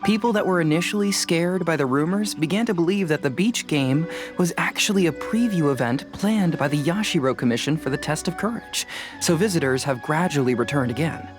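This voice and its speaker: A woman playing a composed, analytical investigator in a fantasy game, explaining things in a measured, self-assured way. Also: sits low, over music, natural voice. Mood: explanatory, assured. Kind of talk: animated game dialogue acting.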